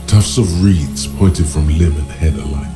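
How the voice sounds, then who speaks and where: A man voicing the brooding inner narration of a detective game, gravelly, deliberate and poetic. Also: low voice. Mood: measured, mesmerized. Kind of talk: scripted second-person game narration. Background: music.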